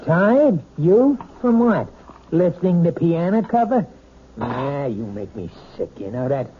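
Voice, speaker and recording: Overbearing, sharp-tempered radio husband. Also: male voice, a little high, sing-song, takes it slowly. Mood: scornful, angry. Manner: blunt, scornful, badgering. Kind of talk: 1970s radio drama acting. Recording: noisy, dull old radio broadcast.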